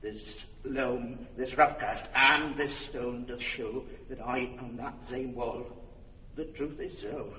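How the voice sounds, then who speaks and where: An actor playing a solemn amateur player who presents himself as a wall in ponderous, over-deliberate comic verse. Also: male voice, steady tone, bright, forceful tone. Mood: solemnly earnest. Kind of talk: classical comic stage verse acting. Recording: distant, muffled echoey hall with rumble.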